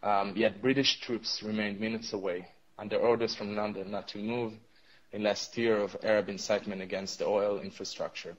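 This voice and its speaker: A man's voice: on the low side, level tone, normal speed, lo-fi sound. A young man whose first language is Arabic, answering interview questions in English with many fillers and restarts. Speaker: interview guest.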